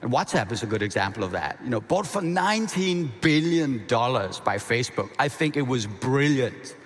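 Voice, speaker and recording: A man with a Danish accent giving a conversational, energetic conference keynote, often restarting his phrases. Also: mid-range voice, normal speed. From half Danish. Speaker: keynote speaker. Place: large conference hall. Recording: reverberant hall.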